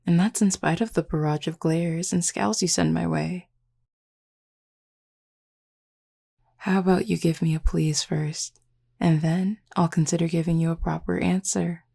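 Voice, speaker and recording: A woman playing a flirty, teasing, confident werewolf pack member in an intimate audio roleplay, speaking unhurriedly with a husky, gravelly voice. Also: fairly low pitch, fairly even intonation, moderate pace, dark tone. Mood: playful, flirty.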